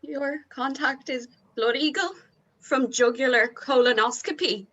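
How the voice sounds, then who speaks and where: A woman playing a brash, bossy, excitable bandmate in a script table read, slangy and blunt. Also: high voice, a little slow, lo-fi sound. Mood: incredulous.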